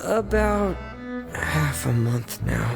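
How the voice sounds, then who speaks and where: A shy, earnest teenage boy for a video game. He talks slowly and hesitantly with a creaky edge, music playing behind. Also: mid-range voice, big pitch swings, heavily acted. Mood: earnest, reluctant. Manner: hesitant, halting, reticent.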